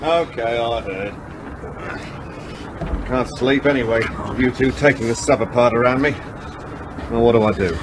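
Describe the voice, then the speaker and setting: A man's voice: medium pitch, moderate pace, pauses often, natural voice. An actor in a radio drama playing a surly, mocking crewman who grumbles sarcastically. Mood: sarcastic, weary. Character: surly, mocking, reluctant submarine crewman trying to sleep. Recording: noisy background ambience.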